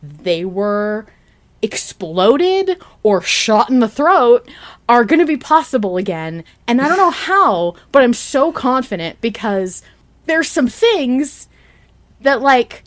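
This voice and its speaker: A woman hosting a fan podcast, chatting excitedly in an emphatic, gushing, halting way. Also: on the high side. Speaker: podcast host.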